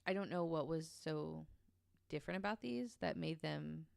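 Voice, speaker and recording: Low-pitched woman with creaky, clear voice. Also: moderate pace, a little mellow, no echo. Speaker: podcast guest telling a true story. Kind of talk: casual storytelling among co-hosts, reading quotes aloud.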